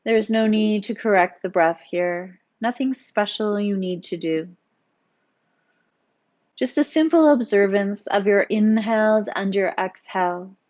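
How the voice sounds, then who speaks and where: Female yoga teacher for guided relaxation: soothing, gently instructive, mid-pitched, on slightly muffled lo-fi audio. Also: measured pace. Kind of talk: guided meditation narration.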